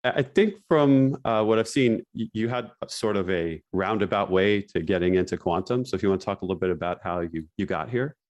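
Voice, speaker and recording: A man hosting a podcast and interviewing a guest in a friendly way, with light jokes and a few halting restarts. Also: fairly low pitch, a little mellow. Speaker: podcast host.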